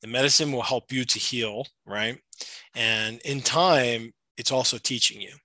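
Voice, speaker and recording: A man's voice: mid-range voice, measured pace, sharp tone, no room sound. A middle-aged man from the United States, a shamanic practitioner, answering interview questions in a thoughtful way with many fillers and restarts. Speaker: shamanic practitioner and retreat facilitator. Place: Peru.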